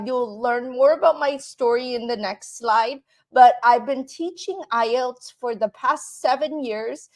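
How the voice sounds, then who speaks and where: Woman, high-pitched, slow, English teacher, live online class, checks in with "right?". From Canada. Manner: repeats "right?" to check in with viewers.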